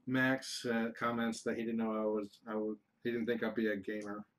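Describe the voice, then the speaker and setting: A middle-aged man from the United States answering viewer questions on a casual livestream, rambling and halting with frequent restarts. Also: on the low side, level tone. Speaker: kitten foster livestreamer.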